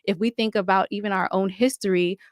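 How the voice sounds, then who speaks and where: A woman's voice: mid-range voice, steady tone, a little fast, no echo, clean studio sound. A woman talking conversationally as a podcast guest, explaining things with enthusiasm and emphasis. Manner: enthusiastic, emphatic, explanatory, often checks with "right?". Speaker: podcast guest, audio drama producer. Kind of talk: conversational interview talk.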